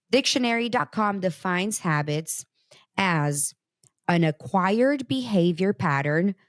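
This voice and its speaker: A woman's voice: lowish voice, no room sound, studio quality. A woman from Brazil whose first language is Portuguese, hosting a casual, motivational talk and speaking straight to her listeners. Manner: animated, conversational, talks straight to listeners. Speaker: host.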